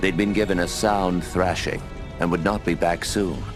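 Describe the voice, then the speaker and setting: A man narrating a game story in a measured, poetic way, over game music. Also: deep voice, fairly even intonation.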